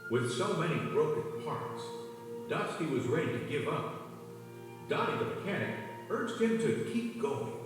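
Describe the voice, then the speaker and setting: A man's voice: fairly high pitch, big pitch swings, measured pace. A man reading a children's read-along story as a scripted narrator. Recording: echoey hall, distant, music behind. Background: music.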